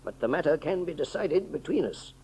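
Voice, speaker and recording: A man acting a grave, self-sacrificing scientist in a formal, deliberate 1930s radio serial style. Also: on the high side, expressive, dark tone, close to an everyday voice. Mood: grave, composed. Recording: noisy, humming 1930s radio serial recording.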